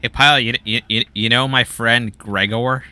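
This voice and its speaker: A man's voice: medium pitch, steady tone, moderate pace, sharp tone. A man doing casual let's-play commentary, chatty and laughing, muttering to himself when he gets stuck.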